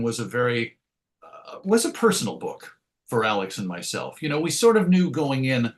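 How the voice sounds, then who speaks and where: A man with a mid-pitched voice answers as a comics writer in an interview. His delivery is conversational and reflective, wandering a little and doubling back to rephrase as he thinks.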